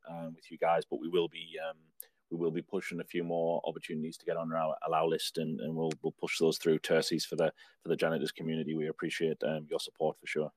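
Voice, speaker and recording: A man gives a short, polite sign-off as a guest on an online audio chat, filling pauses with "um". His voice is very deep and almost completely flat, and the words come out quickly. The sound is dry. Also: no echo. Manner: brief, polite, filler-laden. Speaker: guest from another project.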